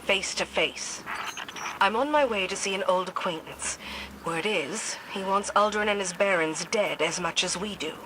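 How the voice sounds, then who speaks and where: A woman's voice: medium pitch, normal speed, background noise, natural voice. A woman playing a wry, tough ranger commander in a video game, dry and commanding.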